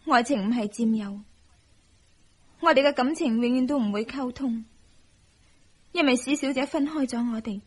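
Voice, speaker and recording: High-voiced, sorrowful young wife. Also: female voice, normal speed, long pauses, husky. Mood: sad, pleading. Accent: Hong Kong Cantonese. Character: young devoted, self-sacrificing, sorrowful newlywed wife. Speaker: radio drama actress. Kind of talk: radio drama acting.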